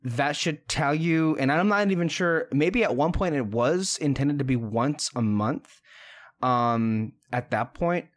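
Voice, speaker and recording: A man's voice: on the high side, unhurried. A man co-hosting a podcast, reflecting aloud in casual chat and often restarting his sentences. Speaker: podcast co-host.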